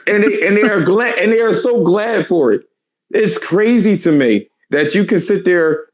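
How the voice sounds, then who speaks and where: A man in his thirties talks in a heated, profane rant, his voice raspy and worn from lack of sleep. He pitches a bit higher than most men and keeps hammering his points with emphatic repetition. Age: 30s. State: tired, hoarse voice. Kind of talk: heated casual chat.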